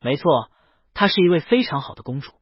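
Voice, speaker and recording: A woman voicing a shy, naive, obedient young dragon boy with a husky voice in a comic audio story. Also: low voice, sing-song, brisk, no echo, highs cut off, pitched below the performer's own voice, exaggerated voice. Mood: earnest.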